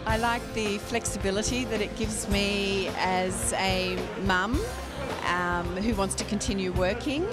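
A woman in her forties, a small business owner and mother, answering a vox pop question in a measured, reflective way. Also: medium pitch. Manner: measured, reflective, frequent ums. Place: indoor function room. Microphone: handheld interviewer mic. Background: background music.

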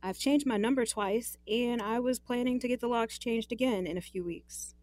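A woman's voice: fairly high pitch, moderate pace, darkish tone, no echo, natural voice. An actress playing a guarded, exasperated young working woman in an audio drama, frank and wry.

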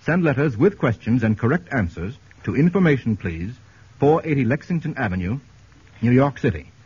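A man hosts a 1940s radio quiz show in a medium-pitched voice. The sound is very rough and husky, carried on a dull, scratchy vintage broadcast. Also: sing-song, moderate pace, darkish tone.

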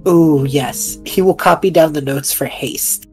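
A woman's voice: sits low, measured pace, darkish tone, soft background music. A woman casually narrating her character's actions as a player in a tabletop game.

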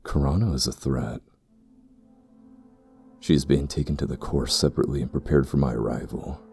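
Man acting very deep, gravelly fantasy priest. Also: fairly even intonation, mellow. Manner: formal, grave, deliberate. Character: stern, ambitious male priest of a fantasy temple. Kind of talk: fantasy audio roleplay acting.

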